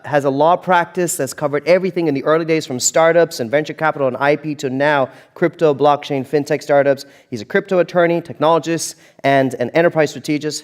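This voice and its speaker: Higher-pitched male event host. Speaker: event host introducing a speaker. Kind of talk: live stage introduction.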